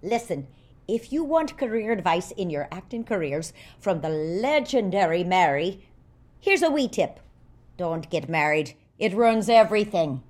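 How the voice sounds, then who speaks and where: A woman's voice: fairly low pitch, sing-song, measured pace, darkish tone. A woman playing a vain, imperious older Irish singing star in a comedic audio drama, haughty and scornful. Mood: scornful, impatient.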